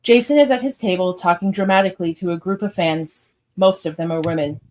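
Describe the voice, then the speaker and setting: A woman's voice: lowish voice, fairly quick, darkish tone, a little hiss or hum. A woman narrator reading screenplay stage directions aloud in a scripted live read.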